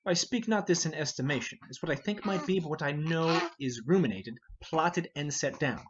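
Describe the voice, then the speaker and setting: A man playing a measured, scheming older noble uncle in a formal, deliberate Shakespeare reading. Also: a little high, dull audio, a crowd in the background, lightly acted. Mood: measured, secretive. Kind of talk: dramatic reading of a Shakespeare play.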